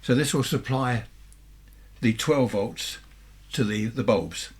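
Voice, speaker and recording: A man in his seventies with a southern English accent and a husky voice, giving a chatty, rambling, unscripted show-and-tell as a hobbyist, often questioning himself. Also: medium pitch, takes it slowly. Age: 70s. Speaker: amateur radio hobbyist presenting vintage equipment.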